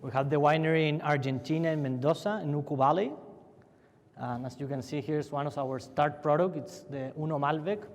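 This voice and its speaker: A man's voice: a little high, fairly even intonation, brisk. A young man from Chile with a Chilean Spanish accent, speaking as a winery panelist in a loose, chatty way full of fillers and restarts. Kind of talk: conference fireside chat. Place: large conference hall. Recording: long hall reverb.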